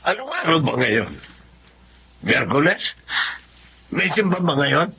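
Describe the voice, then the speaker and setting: Casting: actor as grumbling older dad, gravelly, forceful edge, faint noise behind. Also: male voice, fairly high pitch, takes it slowly, full character voice. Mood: suspicious. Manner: questioning, nagging, animated. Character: suspicious, grumbling older father of grown children. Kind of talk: Filipino audio drama acting.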